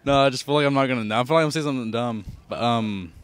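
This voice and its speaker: A man answering as a guest, hesitant and trailing off quietly, worried about saying something dumb. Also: mid-range voice, racing, smooth, no room sound.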